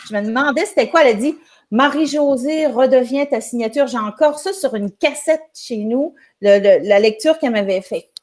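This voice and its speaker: Chatty Québécoise woman, bright higher voice. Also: expressive, moderate pace. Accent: Québécois French. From Quebec. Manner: chatty, rambling, many restarts. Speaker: guest, music teacher. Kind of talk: casual storytelling on a live show.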